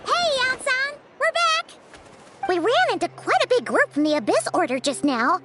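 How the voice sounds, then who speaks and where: A woman voicing a tiny, chirpy, childlike fairy companion in a video game, squeaky and full of exclamations. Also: sing-song, moderate pace, pushed and bright, exaggerated voice. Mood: excited, cheerful. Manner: exclamatory, chirpy, refers to self in third person. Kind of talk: English video game voice acting. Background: game music.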